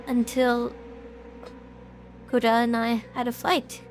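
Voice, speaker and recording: A woman's voice: fairly high pitch, flat delivery, takes it slowly, a little hiss or hum. Woman acting earnest, worried young female streamer. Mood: regretful, earnest. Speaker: voice actor in fan audio drama. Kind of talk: audio drama acting.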